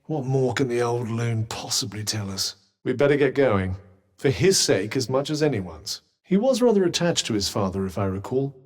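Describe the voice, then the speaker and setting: A man's voice: mid-range voice, animated, moderate pace, natural voice. A man voicing a sardonic, lilting British magical companion. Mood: dry, musing. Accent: British English. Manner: dry, sarcastic, condescending, theatrical. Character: sardonic, haughty, well-spoken talking magical cuff, male. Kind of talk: video game character dialogue.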